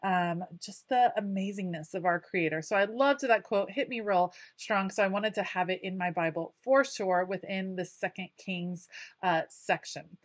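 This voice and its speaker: A woman's voice: mid-range voice, normal speed, dry recording. A woman talking casually over her craft footage, chatty and enthusiastic with frequent ums. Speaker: craft video creator.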